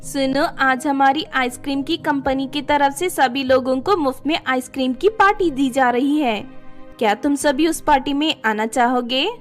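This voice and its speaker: A woman's voice: sits high, normal speed, soft background music, natural voice. A woman voicing a sweet-talking female ice cream vendor with a coaxing hawker's manner in a story. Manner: sing-song street hawker, coaxing.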